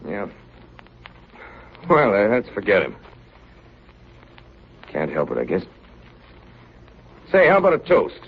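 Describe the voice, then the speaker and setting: An actor playing a steady, grave ship's officer telling of an Arctic voyage in 1930s radio drama style. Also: male voice, lowish voice, slow, pauses often, slightly rough, heavily acted. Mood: easygoing. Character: ship's chief narrating his Arctic diary, steady and grave. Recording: muffled, noisy 1930s radio recording.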